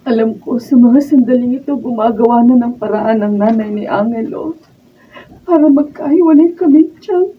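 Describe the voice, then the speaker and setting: An actress playing an anxious young wife in a Filipino radio drama, hesitant, emotional and pleading. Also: female voice, fairly high pitch, moderate pace, far from the mic, a little hiss or hum, lightly acted. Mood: fearful. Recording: thin phone-like sound, off-mic.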